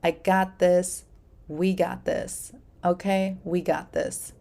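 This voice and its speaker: A woman's voice: fairly low pitch, little pitch movement, takes it slowly, creaky. A woman hosting her own podcast, talking casually and encouragingly to listeners and reciting affirmations. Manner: gentle, encouraging, speaks in affirmations. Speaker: podcast host. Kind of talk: casual solo podcast talk.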